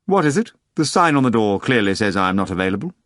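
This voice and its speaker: A curt, disdainful official's voice coming from an empty suit, brisk and irritable, as a male audiobook narrator puts it on. The delivery is clipped and close, with no room sound. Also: on the low side, sing-song, a bit bright, no echo. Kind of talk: audiobook character voice.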